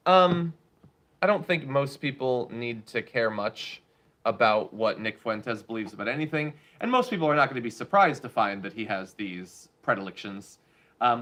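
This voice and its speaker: A man's voice: mid-range voice, a bit bright. A man hosting a political commentary show, giving blunt, sarcastic opinions on the news.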